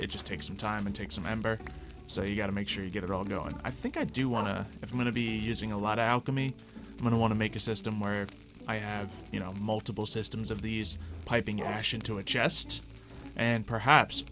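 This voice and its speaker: A man hosting a gaming video, giving casual commentary as he thinks out loud and explains what he is doing in a conversational way. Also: fairly low pitch. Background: background music.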